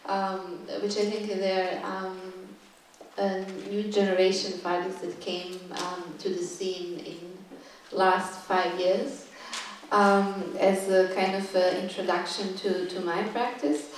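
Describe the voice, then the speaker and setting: A woman's voice: medium pitch, level tone, distant mic, a little hiss or hum. Woman lecturing in an echoey room. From Serbia. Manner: halting, thoughtful, stops often to find words. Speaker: guest curator giving a talk. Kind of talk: lecture to a live audience. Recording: echoey room, voice picked up from afar.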